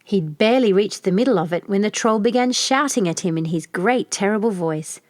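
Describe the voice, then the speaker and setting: A woman reading a scripted children's fairy tale as an expressive storyteller. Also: mid-range voice, a little fast, no echo, clean studio sound.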